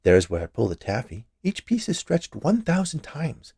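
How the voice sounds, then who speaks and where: A man's voice: on the high side, wildly swooping pitch, moderate pace, vocal fry, breathy edge, no room sound, clean studio sound, pitched above the performer's own voice, heavily acted. A man voicing a cheerful, chatty large woman who runs a candy shop in a storybook tale. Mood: cheerful, proud. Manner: bright, chatty, eager.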